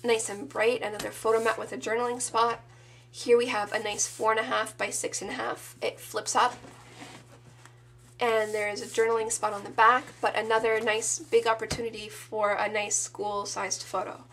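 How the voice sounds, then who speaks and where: A woman in her thirties with a sore throat, casually showing off her handmade scrapbook album in a relaxed, chatty, enthusiastic way. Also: a little high, normal speed. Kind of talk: casual show-and-tell.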